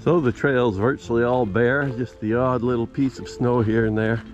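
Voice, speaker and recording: A man's voice: mid-range voice, steady tone, normal speed, highs cut off, faint music behind. An older man narrating a walk outdoors in a casual, understated, musing way into a handheld camera. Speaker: vlogger. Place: outdoors on a rural trail in rain. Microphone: handheld camera at arm's length.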